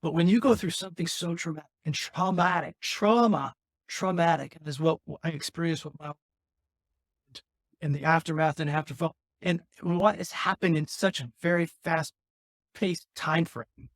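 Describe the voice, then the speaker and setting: A man with a raspy voice speaks candidly on his podcast, rambling and restarting as he works through his thoughts. His voice sits a little high for a man. The sound is close and dry, with almost no room around it. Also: steady tone, moderate pace, no room sound. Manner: rambling, earnest, restarts often. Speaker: podcast host. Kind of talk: candid personal talk.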